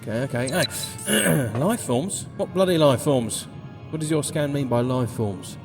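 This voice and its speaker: An actor playing a grumbling, sarcastic space delivery pilot in a comedy audio drama. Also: male voice, medium pitch, expressive, unhurried, creaky, a little mellow, soft background music. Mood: incredulous, cynical. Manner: grumbling, sardonic, theatrical sighing.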